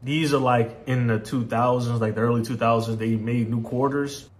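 A man's voice: medium pitch, fairly even intonation, normal speed. A man from Louisiana, giving laid-back, profane let's-play commentary. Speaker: gaming channel host.

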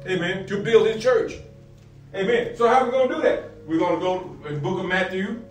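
A man's voice: high voice, lively intonation, a little fast. A middle-aged man preaching a sermon as a pastor, asking and answering his own questions, repeating phrases and punctuating them with amens. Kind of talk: sermon, preaching with scripture readings. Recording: roomy, distant mic, faint music behind.

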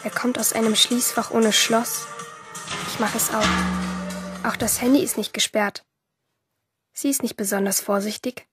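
High-voiced defiant teenage girl, played by a woman. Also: steady tone, measured pace, long pauses, natural voice. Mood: conspiratorial narrating. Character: rebellious, jealous teenage girl swimmer, defiant and obsessive. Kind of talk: first-person audio drama narration and dialogue in a crime radio play. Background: music behind the voice.

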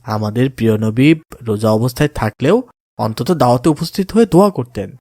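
Calm, pious cartoon father, voiced by a man. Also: medium pitch, fast, no echo, studio quality. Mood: warm, instructive. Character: pious, kindly adult father giving gentle counsel. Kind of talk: cartoon voice acting in moral story.

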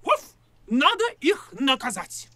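A woman voicing a brave, bossy cat hero who yells karate cries in a children's puppet show. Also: sits high, sing-song, slow, full character voice. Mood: stern. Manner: shouting karate cries, barking.

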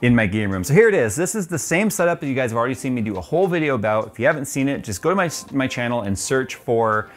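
A man's voice: medium pitch, very animated, fast talker, hardly any pauses. A man in his forties giving a casual tour of his home game room, chatty and loose with plenty of ums, on a lapel mic. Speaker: tech YouTuber.

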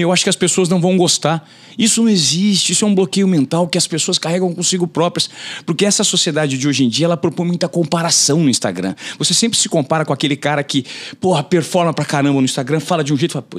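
Podcast guest: man in his forties, expressive studio talker, lively pitch. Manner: animated, gestures a lot, acts out quotes in a raised voice. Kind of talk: conversational interview. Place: podcast studio with brick wall. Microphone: studio mic on boom arm.

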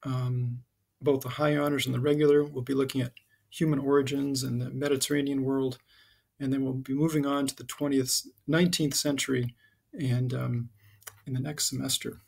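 A middle-aged American man, a high school teacher, giving an informal, halting, explanatory introduction to his class over slides. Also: flat delivery, vocal fry, husky. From United States (Connecticut and Southern California).

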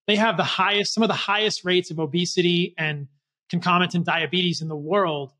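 High-pitched male podcast host. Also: steady tone, fairly quick, sharp tone. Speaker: doctor. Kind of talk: conversational podcast talk. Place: small home office, indoors. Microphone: close desk podcast microphone.

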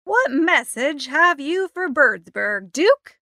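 A woman's voice: high voice, sing-song, slow, bright, forceful tone, no echo, studio quality, heavily acted. A woman performing a hearty, eager American small-city businessman in an audiobook story. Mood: eager boosting. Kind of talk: audiobook character reading.

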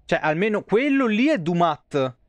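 A man's voice: high-pitched, sing-song, normal speed, no room sound. A man, muttering casual, foul-mouthed commentary as he streams a game. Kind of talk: casual live gameplay commentary. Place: small indoor room, streaming setup.

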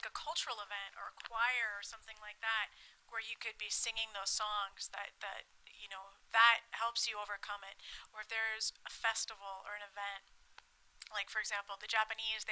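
A woman's voice: medium pitch, rough recording. A woman in her thirties whose first language is Russian, speaking animatedly as a panelist into a table mic in a conference room. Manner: animated, talks with her hands. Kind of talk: panel discussion. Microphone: table mic on stand.

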